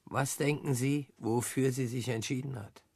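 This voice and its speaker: An actor playing a probing, matter-of-fact police detective in a radio crime drama. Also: male voice, medium pitch, steady tone, moderate pace, gravelly, a little mellow, breathy edge, dry recording, studio quality. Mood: pressing.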